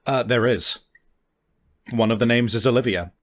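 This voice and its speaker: A man's voice: mid-range voice, moderate pace. A man improvising tabletop game narration as game master in a casual, sweary, conversational way. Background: faint background music.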